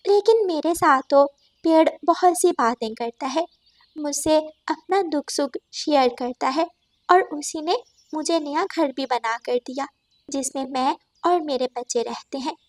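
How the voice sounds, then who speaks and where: A woman's voice: sits high, unhurried, no echo, voice pushed up. A woman voicing a kind, caring mother bird in a cartoon story, speaking politely and earnestly.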